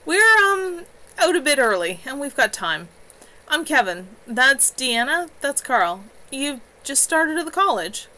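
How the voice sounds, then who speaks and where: A cocky young male elf mage who treats everything as a bit of fun, read by a woman in her own voice. The delivery is breezy and teasing, with a bright tone that swings up and down in pitch. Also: big pitch swings, unhurried, full character voice. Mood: friendly.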